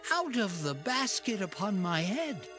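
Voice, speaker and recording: An actor playing an anxious imprisoned royal baker of ancient Egypt in a scripture drama, with a troubled, drawn-out delivery. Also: male voice, high voice, very animated, over music. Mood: uneasy hope.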